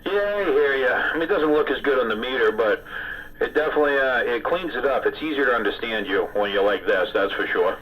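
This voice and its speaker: A man's voice: medium pitch, expressive, brisk, a crowd in the background, poor audio. A man chatting casually over a CB radio, joking, laughing and swearing. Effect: CB radio transmission. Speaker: CB radio operator, channel host.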